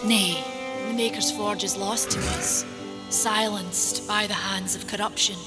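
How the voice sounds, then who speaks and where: Actress as sturdy maker of the forge; mid-pitched, earnest, proud, old-world phrasing, over a loud game score. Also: female voice, fairly even intonation, unhurried, close to an everyday voice. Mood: proud, wry. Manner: frank, earnest, archaic turns of phrase. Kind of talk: dramatic fantasy game acting. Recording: loud music behind the voice.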